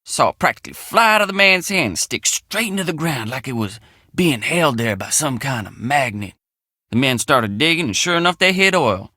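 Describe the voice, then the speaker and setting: Animated, folksy young Southern country guy. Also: mid-range voice, normal speed, dry recording, clean studio sound. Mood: earnest, confiding. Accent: American Southern. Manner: folksy, drawling, colloquial. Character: folksy, earnest, excitable young country handyman. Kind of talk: video game character dialogue.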